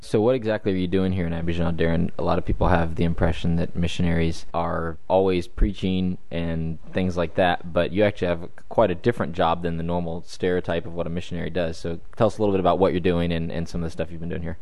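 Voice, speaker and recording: Interviewer from the Ivory Coast, very deep and even, dry close sound. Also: male voice, quick pace, gravelly, hardly any pauses, dry recording. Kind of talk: interview questions.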